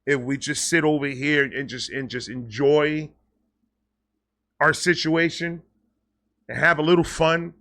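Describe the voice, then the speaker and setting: A man talking casually and off the cuff to his viewers, excitable and rambling, often repeating and stammering over words, with slangy, sweary phrasing. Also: fairly high pitch, a little slow, pauses often. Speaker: online video creator and host. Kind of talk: casual unscripted vlog talk to viewers.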